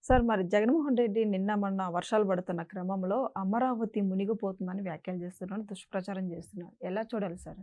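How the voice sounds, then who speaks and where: A woman asks questions as a news interviewer. She speaks quickly at a medium pitch, with a formal, deferential manner. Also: fairly even intonation, dark tone.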